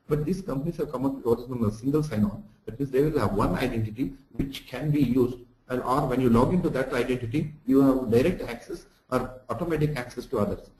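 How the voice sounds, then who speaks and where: Male online-course lecturer, medium pitch, dark relaxed timbre, didactic delivery with examples and recaps. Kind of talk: slide lecture for an online lesson. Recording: echoey room sound.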